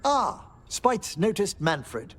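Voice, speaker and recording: A courteous, scholarly gentleman of a fantasy game. He speaks formally in a fairly high, very melodic male voice, choosing genteel words with care. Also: big pitch swings, exaggerated voice. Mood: genteel, musing. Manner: formal, genteel, measured phrasing.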